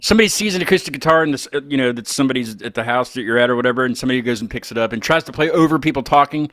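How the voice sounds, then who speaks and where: A man's voice: medium pitch, racing, a bit bright, no echo. A man hosting a casual chat, joking and rambling as he goes. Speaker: podcast host interviewing a band.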